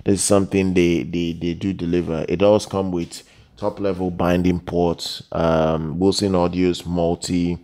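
Man, very deep pitch, dark relaxed tone, unscripted reviewer voice-over, halting, repetitive, emphatic on key words. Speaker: product reviewer, channel host.

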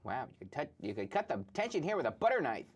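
A man's voice: mid-range voice, sing-song, quick pace, husky. A young man hosting a live studio chess show, chatting casually and mumbling to himself as he thinks over his moves. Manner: musing aloud, mumbling to himself while thinking. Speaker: show host playing chess. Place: dark TV studio.

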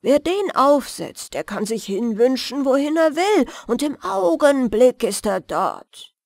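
A woman voicing an earnest, simple-minded storybook giant with drawn-out, emphatic delivery. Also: high-pitched, lively intonation, no room sound, studio quality, voice pushed up, exaggerated voice. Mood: earnest, insistent.

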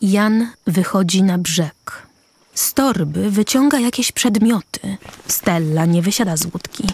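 A woman narrating a radio drama deliberately, pausing between short phrases. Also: mid-range voice, a little mellow, husky.

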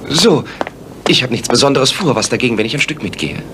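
An actor playing an earnest, polite gentleman sidekick who also narrates in an audio drama. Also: mid-range voice, animated, brisk, noisy background, lightly acted. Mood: awkward, evasive.

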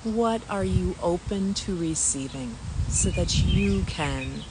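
Soothing lady doing guided meditation, super slow w long pauses, clear nature noise and birds in the background. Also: on the low side, animated. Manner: gentle, unhurried, with long pauses between phrases.

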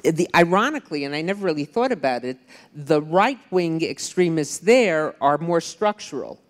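A woman's voice: deep voice, animated, normal speed. An older woman author and historian speaking on a panel, chatty and digressive with wry asides, into a handheld mic. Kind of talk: public panel discussion. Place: large lecture hall on stage. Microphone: handheld microphone. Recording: long hall reverb.

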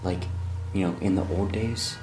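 A man's voice: deep voice, steady tone, moderate pace, darkish tone, a little hiss or hum, poor audio. A young man chatting casually as he explores an empty abandoned factory, picked up on a handheld camera. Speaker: urban explorer. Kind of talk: casual chat while exploring. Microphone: handheld camera mic.

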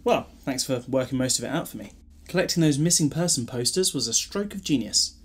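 A man's voice: medium pitch, lively intonation, brisk, slightly rough, faint music behind. A British man playing a glib, protective time-travelling hero in an audio drama, wry and chatty with a scolding streak. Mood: breezy, fond. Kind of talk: fan audio drama acting.

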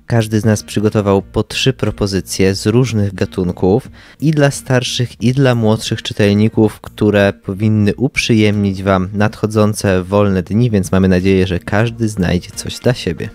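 Young man, low voice, podcast co-host, scripted recommendations, music behind. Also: steady tone, a little slow, hardly any pauses, darkish tone.

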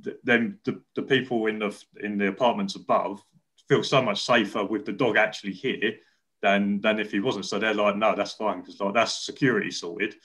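A man's voice: fairly low pitch, fairly even intonation, moderate pace, a bit bright. A young man hosting an interview over a video call, talking in a chatty, rambling way with frequent restarts. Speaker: interview host. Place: living room indoors. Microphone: webcam on video call.

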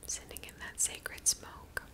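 A woman speaks in a soft, breathy whisper throughout, leading a reiki session for ASMR. Her delivery is slow and soothing as she guides the listener through each step. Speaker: reiki practitioner.

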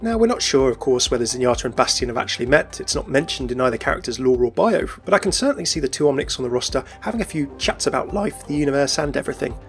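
A man's voice: mid-range voice, lively intonation, fast talker, hardly any pauses. A man presenting scripted narration as a video host, in an explanatory style. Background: background music.

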